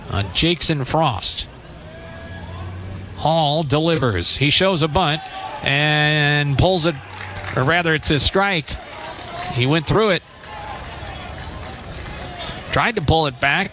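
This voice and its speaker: A man doing live radio baseball play-by-play in clipped phrases mixed with measured description. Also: on the high side, pushed and bright, slight background noise. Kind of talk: live radio sports commentary.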